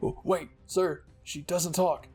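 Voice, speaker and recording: Man acting worried young trainee, raised pitch. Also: fairly even intonation, takes it slowly, darkish tone, breathy edge, soft background music, exaggerated voice. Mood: worried, urgent. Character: worried young trainees watching their comrade. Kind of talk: dramatic audiobook fan-fiction acting.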